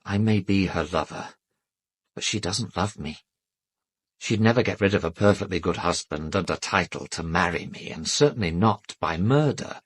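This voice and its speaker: An actor playing an educated, defensive English gentleman and weary secret lover in a British radio drama, speaking politely and guardedly with wry self-deprecation. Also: low-pitched, expressive, normal speed, breathy edge. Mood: wry, confessional.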